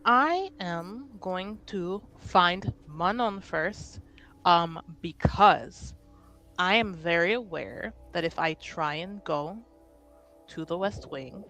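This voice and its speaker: A woman playing a tabletop game, thinking aloud in casual, halting, informal narration. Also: fairly low pitch, lively intonation, pushed and bright, faint music behind, poor audio.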